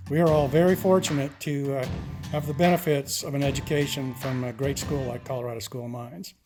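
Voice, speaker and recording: A man's voice: medium pitch, steady tone, fairly quick, music in the background. A man giving a prepared testimonial as an alumnus and supporter. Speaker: alumnus and donor.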